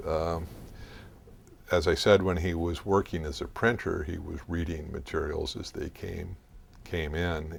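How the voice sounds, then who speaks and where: A man with a low, gravelly voice answers as an expert in an informal interview. He explains things in a deliberate, scholarly way, often halting and feeling for the next phrase. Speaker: author and expert guest.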